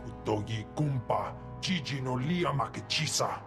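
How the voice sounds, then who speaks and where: An actor voicing a greedy, scheming alien crime boss in a video game, speaking slowly and deliberately in an invented alien language. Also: male voice, mid-range voice, expressive, roomy sound, music in the background. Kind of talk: video game cutscene acting.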